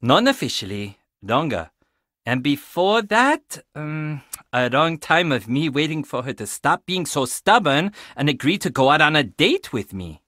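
An actor playing a geeky, awkward, friendly young tech tinkerer in a video game, rambling and self-deprecating. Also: male voice, on the high side, big pitch swings, unhurried, fairly bright tone, no echo, clean studio sound. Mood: casual, wry. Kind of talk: video game character dialogue.

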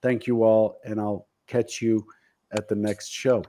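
A man's voice: on the low side, level tone, a little slow, mellow, slight background noise. A man in his fifties hosting a casual video-call chat, talking earnestly and a bit self-deprecatingly about his goals. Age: 50s. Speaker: host. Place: home office. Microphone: studio mic on video call.

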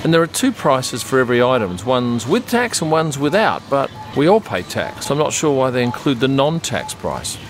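A man's voice: mid-range voice, sing-song, normal speed, hardly any pauses, faint music behind. An Australian man in his sixties giving chatty travel vlog narration. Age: 60s. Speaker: travel vlogger.

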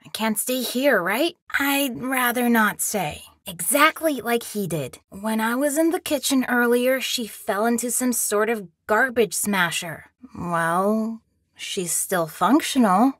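A woman's voice: fairly high pitch, lively intonation, takes it slowly, breathy edge, dry recording, clean studio sound, exaggerated voice. A woman voicing a young boy in a video game, scared but plucky and resourceful, speaking in an earnest, anxious way. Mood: wary, curious.

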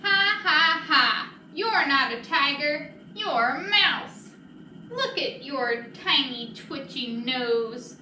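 Big scoffing storybook tiger, done by a woman, loud and smug, emphatic, echoey distant recording. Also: sits high, animated, takes it slowly, heavily acted. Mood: mocking. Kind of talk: picture-book read-aloud. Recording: echoey room, distant mic, noisy.

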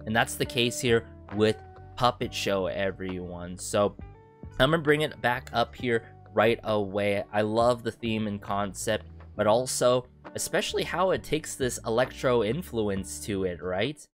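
A young man in his twenties reviewing a song in casual chat, animated and full of fillers, talking into a close boom mic in a small bedroom. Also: measured pace, faint music behind. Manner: animated, thinks aloud with many fillers like "you know" and "right". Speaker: music reaction channel host. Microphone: boom mic close to the face, headphones on.

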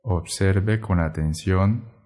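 A man guiding a meditation in a gentle, measured way, pausing between short phrases. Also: low voice. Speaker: meditation guide. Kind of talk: guided meditation narration.

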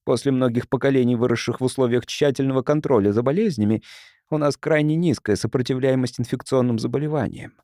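A man's voice: mid-range voice, fast, warm tone, no room sound, studio quality, natural voice. A man voicing an anxious, haughty colony councillor in an audiobook, speaking formally with vehement disdain. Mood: worried.

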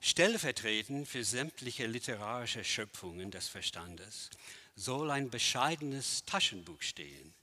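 Slow, bright-toned declaiming man in his 60s. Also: medium pitch, sing-song. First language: English. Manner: measured, declamatory delivery with dramatic swells and hushed drops. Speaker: lecturer and performer. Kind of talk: theatrical lecture performance. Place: large empty art gallery hall, indoors.

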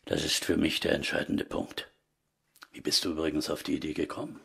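Gruff senior cop, husky low voice, deliberate, matter-of-fact, radio drama. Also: male voice, fairly even intonation, pushed and bright, exaggerated voice. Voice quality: gravelly, husky. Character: dry, gruff senior male police investigator. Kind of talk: German crime radio drama dialogue.

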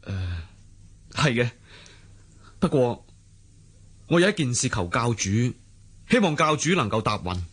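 Husky, earnest young swordsman. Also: medium pitch, sing-song, measured pace, pauses often, fairly bright tone, full character voice. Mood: earnest pleading. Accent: Hong Kong Cantonese. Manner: deferential, earnest. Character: loyal, humble, earnest young swordsman hero. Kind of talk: Cantonese radio drama acting.

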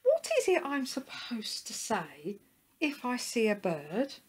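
A woman's voice: a little high, big pitch swings, slow, husky, pitched above the performer's own voice, exaggerated voice. A woman voicing a silly, forgetful male dog in a children's story, cheerful, mischievous and exclamatory. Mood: puzzled asking. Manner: exclamatory, polite, chuckling to himself.

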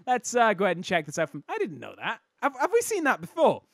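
A man with a slightly croaky voice hosting casual, jokey video game commentary in a self-interrupting way. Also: high-pitched, wildly swooping pitch, fast talker, dry recording, clean studio sound. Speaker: host of a game replay showcase.

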